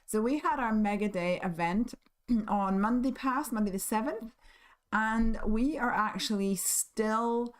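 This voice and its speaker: A woman hosting a live crafting stream, husky and hoarse from losing her voice, chatting in a friendly way with many ums and small laughs. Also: fairly high pitch, unhurried. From United Kingdom. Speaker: craft stream host and shop owner.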